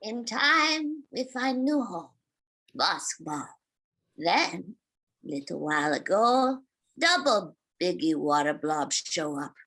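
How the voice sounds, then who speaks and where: A woman's voice: fairly low pitch, very animated, slow, a bit bright, full character voice. A woman playing a scrappy old rat alien grandma in a comic sci-fi script read, speaking in clipped, folksy broken English. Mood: grave.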